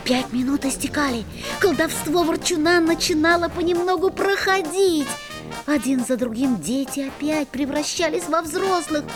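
A woman's voice: high voice, moderate pace, natural voice. A woman voicing a mischievous young schoolboy who narrates a radio play, with music behind her.

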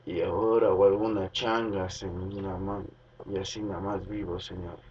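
A man's voice: low voice, little pitch movement, normal speed, a little mellow, slight background noise, lightly acted. A man playing a humble, poor peasant of thirty under arrest in a radio drama, meek and hesitant. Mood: meek, downcast. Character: humble, poor thirty-year-old male peasant detainee. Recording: muffled, distant, music behind.

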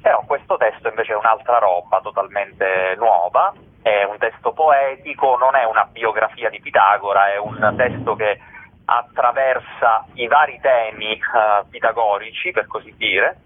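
A theatre man giving conversational, explanatory interview answers in a halting way. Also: on the low side. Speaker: theatre actor-director, interview guest. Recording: narrow tinny phone line.

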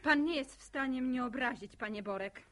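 An actress playing a composed, principled young village schoolteacher in a Polish radio drama, proper and quietly firm. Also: female voice, high-pitched, normal speed. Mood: dignified, firm.

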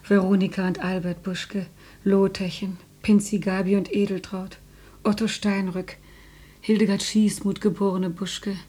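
An older woman's voice, husky and mellow, acted by a woman for a radio play. She is a homely grandmother who takes everything drily and matter-of-factly. Nothing seems to fluster her. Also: mid-range voice, natural voice. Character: elderly housewife and grandmother, matter-of-fact, unflappable. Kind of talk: radio drama acting.

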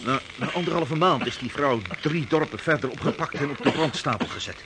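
A man in a Dutch radio drama playing a rough sailor telling an eerie tale, stammering and halting as he goes. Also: fairly high pitch, lightly acted. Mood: grim. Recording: noisy radio drama scene.

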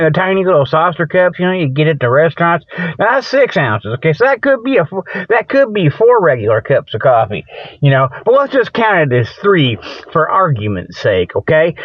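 High-pitched folksy man, rambling radio host. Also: no room sound. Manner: folksy colloquial grammar; repeats "okay" and "you know" as tags. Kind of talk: rambling comedic radio monologue. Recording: dull lo-fi radio-like sound.

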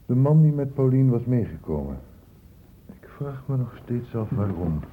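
An actor playing a thoughtful, quietly insistent investigator in a Dutch radio play, deliberate and questioning. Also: male voice, medium pitch, very animated, close to an everyday voice. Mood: pensive, probing. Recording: muffled, hissy old radio recording.

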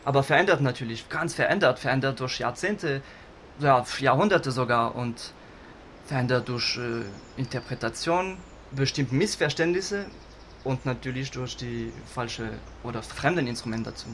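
An actor as a chatty male musician telling a casual, rambling story. He halts, corrects himself and slips in his grammar, with faint noise around his mid-pitched voice. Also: lively intonation, fairly bright tone, close to an everyday voice. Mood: musing. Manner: halting, self-correcting, with slightly faulty German grammar. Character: cellist of a string trio, chatty, rambling storyteller. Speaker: musician being interviewed.

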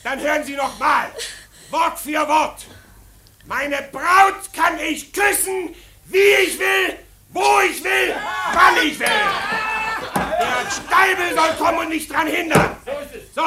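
Drunken bridegroom, very high strained voice, belligerent, barking repeats, radio drama, roomy crowded tavern sound. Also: male voice, slow pace, gravelly, a faint crowd, full character voice. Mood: menacing bluster. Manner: belligerent, repetitive, barking shouts. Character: drunken, blustering, jealous young bridegroom picking a fight. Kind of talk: German radio drama acting.

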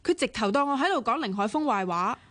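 A woman's voice: on the high side, animated, quick pace, a bit bright, no room sound. An actress with a Hong Kong accent playing an earnest, worried young woman in a radio drama, with a pleading way of talking. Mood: worried, insistent. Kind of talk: Cantonese radio drama acting.

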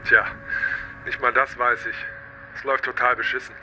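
A weary, frustrated policeman in a radio crime drama. He is curt, with an average-pitched voice amid clear background noise. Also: level tone, moderate pace, bright, forceful tone, rough recording.

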